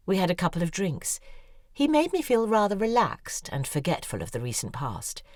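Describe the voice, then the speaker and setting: Dry sardonic lady novelist narrating, low voice, swoops around a lot. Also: darkish tone, no room sound. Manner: sardonic, weary. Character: acerbic, weary female novelist. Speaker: narrator and lead character, a novelist. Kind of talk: scripted first-person audio drama narration.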